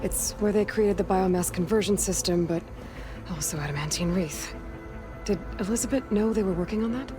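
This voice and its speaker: A woman's voice: mid-range voice, a little fast, close to an everyday voice. A young woman playing a determined, guarded hunter in a video game, speaking in an earnest, matter-of-fact way. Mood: inquisitive. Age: young adult. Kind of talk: naturalistic video game acting. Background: background music.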